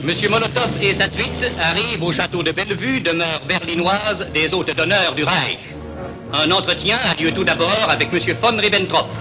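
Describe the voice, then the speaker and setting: Old 1940s newsreel guy, high formal announcer voice, dull lo-fi sound. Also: moderate pace. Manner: formal, declamatory announcer delivery. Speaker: newsreel narrator. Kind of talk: 1940s newsreel scripted narration. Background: music.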